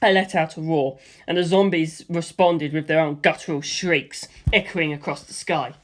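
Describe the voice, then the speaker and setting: A man reading a first-person action story aloud in a lively way, voicing gunfire sound effects himself. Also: fairly high pitch, normal speed. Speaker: audiobook reader.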